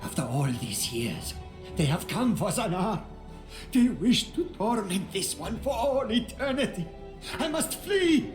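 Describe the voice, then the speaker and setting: An actor playing a proud, menacing old assassin in a video game, haughtily speaking of himself in the third person. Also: male voice, sits high, very animated, unhurried. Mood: rising panic. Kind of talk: video game character acting. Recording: roomy sound with faint music.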